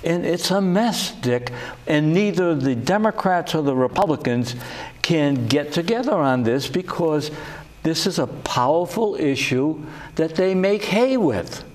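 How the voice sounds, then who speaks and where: An older man hosting a political talk show, speaking slowly and deliberately with frequent pauses and fillers. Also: on the high side, animated, a little mellow. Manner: slow and deliberate, many uh fillers. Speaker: host.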